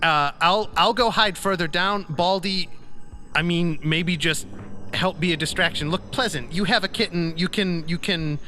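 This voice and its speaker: Male game player, fairly high bright voice, casual improvised planning, clear background ambience. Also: moderate pace, slight background noise. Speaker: tabletop role-playing player.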